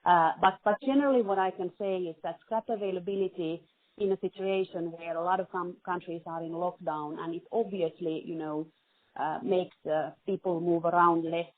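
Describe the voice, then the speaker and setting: A woman speaking as a company finance chief on a conference call, giving careful, measured and spontaneous answers in a business question-and-answer style. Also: on the low side, steady tone, no room sound. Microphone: telephone. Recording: narrowband phone conference line.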